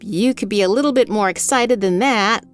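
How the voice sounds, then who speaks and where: A woman's voice: mid-range voice, moderate pace, smooth, faint music behind, natural voice. A woman playing a bold, teasing and confident female author in a fantasy audio drama. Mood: playful, confident.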